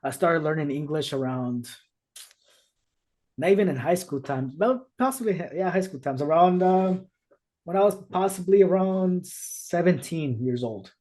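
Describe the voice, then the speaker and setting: Podcast host, male, native Spanish speaker, higher-pitched, earnest and rambling delivery on a video call. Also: expressive, unhurried, darkish tone. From United States. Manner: earnest storytelling, many restarts. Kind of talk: casual conversation.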